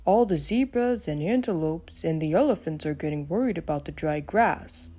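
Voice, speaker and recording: A woman's voice: sits low, expressive, normal speed, mellow. A woman voicing a hungry, worried, tired male animal in a children's storybook, speaking simply and plaintively.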